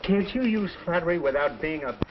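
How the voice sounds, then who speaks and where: Actor as wheedling man, lively pitch. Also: brisk, close to an everyday voice. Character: wheedling, mild-mannered male drinking companion. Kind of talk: 1940s film acting. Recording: muffled, hissy 1940s film soundtrack.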